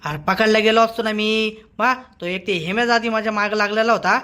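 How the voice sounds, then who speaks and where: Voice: medium pitch, fairly even intonation, bright, forceful tone, close to an everyday voice. An actor playing an eager, nervous gang informant in a comic cartoon, repeating things and making defensive excuses. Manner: hurried, repetitive, defensive. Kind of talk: comic Marathi cartoon dialogue.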